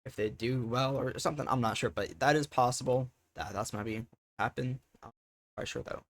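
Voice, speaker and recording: A man's voice: mid-range voice, normal speed, a little hiss or hum. A man giving casual off-the-cuff reaction commentary, thinking aloud hesitantly and often trailing off. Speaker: reaction video host.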